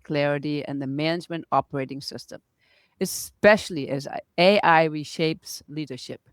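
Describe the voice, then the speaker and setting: A woman's voice: deep voice, no room sound. A woman hosting an interview podcast, English not her first language, chatting in an encouraging way. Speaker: podcast host. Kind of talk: relaxed interview chat.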